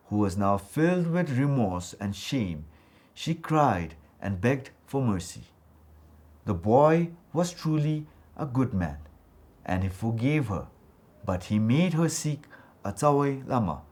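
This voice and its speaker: A man from Bhutan telling a scripted folktale for children in a dramatic, expressive way. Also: medium pitch. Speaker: storyteller.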